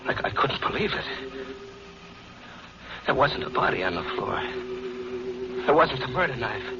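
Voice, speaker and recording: A man's voice: medium pitch, animated, unhurried. A man playing a jittery, defensive hard-boiled American narrator in an old-time radio thriller, slangy and dramatic. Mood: bewildered. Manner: slangy, stammering under pressure, dramatic. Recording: muffled, very noisy old radio recording.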